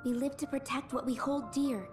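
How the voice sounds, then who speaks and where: An actress playing a gentle, resolute young heroine in a video game, sincere and pleading. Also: female voice, high voice, steady tone, moderate pace, over music, close to an everyday voice. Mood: compassionate. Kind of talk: video game drama acting.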